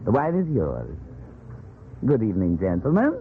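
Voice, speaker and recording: Flamboyant stage magician, wildly swooping voice. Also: male voice, fairly low pitch, huge pitch swings, moderate pace. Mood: slyly amused. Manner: theatrical, chuckling. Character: flamboyant, sly, mocking Venetian stage magician. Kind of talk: 1940s radio acting. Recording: noisy, tinny 1940s radio recording.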